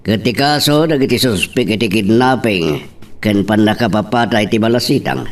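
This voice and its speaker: A man's voice: on the low side, lightly acted. A man playing a troubled middle-aged father and police official in a radio drama, hesitant, with an Ilocano Filipino accent. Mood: troubled, guarded. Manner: hesitant, halting.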